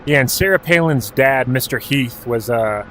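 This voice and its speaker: A man's voice: medium pitch, moderate pace, slight background noise. A man from Alaska streaming a helicopter game, chatting casually while calling out directions and ranges. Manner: relaxed and profane, calling out clock directions and ranges. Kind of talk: casual gaming livestream commentary.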